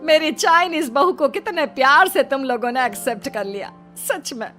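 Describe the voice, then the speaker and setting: A high, bright and very animated Indian mother-in-law acted by a woman in a cartoon story. She scolds and teases fondly, drawing her words out over music. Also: normal speed. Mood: affectionate. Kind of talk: Hindi cartoon story dialogue.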